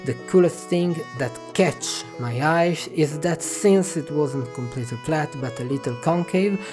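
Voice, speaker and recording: A man's voice: fairly high pitch, unhurried. Italian-accented man narrating a travel vlog. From Piedmont, northern Italy. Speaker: travel vlogger. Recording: music underneath.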